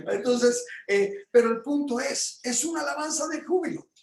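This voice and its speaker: A man teaching his home group about praise in a casual but animated way, jumping into loud exclamations and stumbling over fillers. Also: very high voice, normal speed, echoey room. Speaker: Bible teacher.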